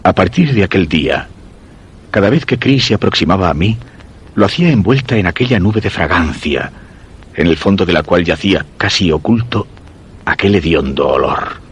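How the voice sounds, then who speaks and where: An actor playing an ailing, suspicious husband who narrates his macabre tale in a Spanish radio drama, measured and literary, with a Castilian accent. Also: sits low, lively intonation, slightly rough, heavily acted. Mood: brooding, uneasy. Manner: measured, literary, brooding delivery.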